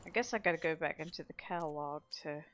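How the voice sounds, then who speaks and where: A woman's voice: low-pitched, fast, a bit bright. A woman giving casual video game commentary, thinking aloud as she plays.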